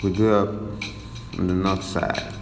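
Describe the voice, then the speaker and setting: A man's voice: sits low, little pitch movement, unhurried, music in the background. A young Nigerian man hosting a podcast, telling his own life story in a loose, slangy, reflective way. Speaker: podcast host. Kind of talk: personal storytelling monologue.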